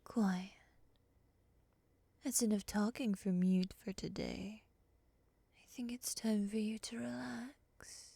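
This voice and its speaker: A woman playing a composed, bossy, possessive moth monster in an ASMR roleplay, speaking softly and breathily, often near a whisper, with a measured, scolding manner. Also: medium pitch, steady tone, creaky, breathy edge, exaggerated voice. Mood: silky, possessive. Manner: measured, scolding, coolly teasing.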